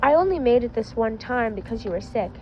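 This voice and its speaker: A teasing but caring boy, quick to get embarrassed, read by a woman. The storybook voice is fairly high and sits in dull audio over music. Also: lively intonation, natural voice. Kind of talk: storybook-style character voice in fan fiction reading.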